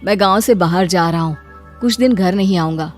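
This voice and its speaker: A woman's voice: on the low side, brisk, soft background music, close to an everyday voice. Woman playing a mild, patient young brother. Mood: patient, placating. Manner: mild, placating. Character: patient, self-sacrificing poor brother, young man. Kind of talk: cartoon moral story character acting.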